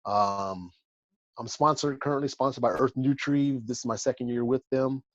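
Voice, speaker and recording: A man's voice: medium pitch, fairly even intonation, normal speed, dry recording. A man in his forties from Texas talks as a laid-back podcast guest who laughs easily. His voice comes through a dull, muffled remote line that is completely dry, with no room sound around it. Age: 40s. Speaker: bodybuilder guest. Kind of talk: casual interview chat.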